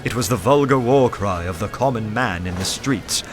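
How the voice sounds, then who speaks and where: Detective narrator male actor: low voice, measured and uneasy, first-person radio drama, clear music bed. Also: natural voice. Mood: tense resolve. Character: anxious undercover detective man narrating his dread.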